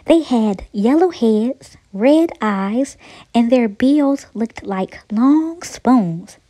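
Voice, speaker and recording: A woman's voice: a little high, expressive, extremely slow, dark tone. A woman reading a children's storybook aloud expressively, as a storyteller.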